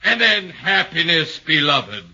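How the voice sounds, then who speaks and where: A dull old radio recording carries a dignified defence lawyer of the 1940s airwaves. He is formal and oratorical, with a hard, piercing edge. His delivery swings widely in pitch as he presses each point. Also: sing-song, unhurried, pushed and bright, husky, full character voice. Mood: dramatic reading. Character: forceful defence lawyer, dignified man, theatrical in court. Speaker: radio actor.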